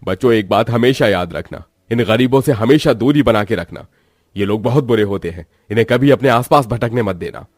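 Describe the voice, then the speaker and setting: A man's voice: mid-range voice, animated, a little fast, a little mellow, no echo, studio quality, close to an everyday voice. A man voicing a proud, formal rich father in a Hindi cartoon moral story. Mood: stern. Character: proud rich father, class-conscious businessman.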